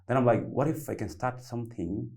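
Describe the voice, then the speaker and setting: A young man from South Sudan telling his story on a panel, halting and restarting often with many fillers. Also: mid-range voice, creaky, a little mellow. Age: young adult. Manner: halting with many repeats and fillers, loose grammar. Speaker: panelist, youth founder. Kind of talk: panel discussion, personal story.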